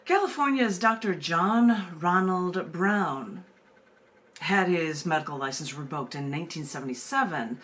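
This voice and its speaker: A woman's voice: on the low side, animated, a little slow, fairly bright tone. A woman hosting an open mic and reading her poetry aloud, moving between casual talk and narrative reading. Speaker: open mic host and poet. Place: video call open mic. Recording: noisy room sound.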